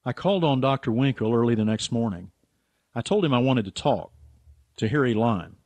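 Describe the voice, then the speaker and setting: Man acting a blunt, stubborn writer, medium pitch. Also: expressive, brisk, darkish tone, natural voice. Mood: grim narration. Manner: blunt, insistent. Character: earnest, stubborn American writer, accusing old friend. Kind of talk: radio drama acting and narration.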